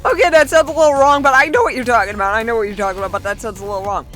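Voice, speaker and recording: A young woman vlogger chatting casually as she reads out and answers viewer comments, in a chatty, excitable manner. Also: on the high side, racing, soft background music.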